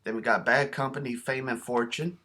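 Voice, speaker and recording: A man talks in a bright, forward voice at a middling pitch. He presents items in a casual show-and-tell vlog style. His delivery is folksy, plain-spoken and enthusiastic. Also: fairly even intonation, brisk. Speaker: video host showing a cassette collection.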